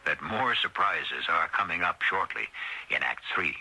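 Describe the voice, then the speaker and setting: A man's voice: low voice, lively intonation, measured pace, pushed and bright, slightly rough. A man narrating a scripted suspense radio drama as its announcer. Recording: noisy radio broadcast recording.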